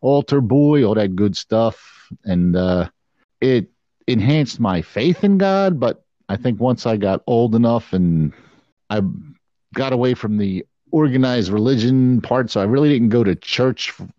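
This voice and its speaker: A man from the Philadelphia area, easing through his stories with frequent chuckles. His voice sits at an everyday pitch, and the recording is muffled and lo-fi. The sound is dry, with no room echo. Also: expressive, measured pace, warm tone. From Philadelphia area, USA. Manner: laid-back storytelling, chuckles often. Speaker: podcast co-host. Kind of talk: casual chat.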